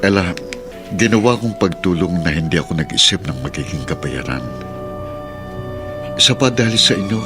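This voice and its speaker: Selfless guardian uncle, Filipino radio drama: low voice, gentle sincerity, music underscoring. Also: male voice. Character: kindly, selfless middle-aged uncle and guardian.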